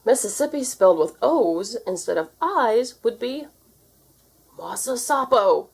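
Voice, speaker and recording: A woman's voice: fairly high pitch, expressive, measured pace, creaky, a little mellow, husky, voice pushed up, full character voice. A woman voicing an eccentric, ponderous brainy uncle in a storybook, with a declaratory manner. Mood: blurting.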